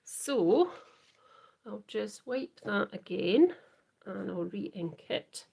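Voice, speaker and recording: A Scottish woman hosting a live crafting demo, chatting softly to viewers and often trailing into whispers as she works. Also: medium pitch, big pitch swings, extremely slow, creaky, slightly rough. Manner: soft, often trailing into whispers while working. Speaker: craft livestream host.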